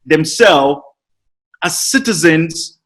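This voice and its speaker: A man talks in a conversational podcast interview, pitched a little high. He explains things earnestly and steadily, and grows passionate when making an appeal. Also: expressive, slow pace, fairly bright tone. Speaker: podcast guest, citizenship consultant.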